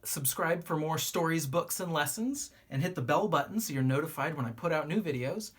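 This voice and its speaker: Expressive, husky woman reading to children. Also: low-pitched, fairly quick. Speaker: teacher reading a children's book aloud. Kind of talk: expressive read-aloud narration for children.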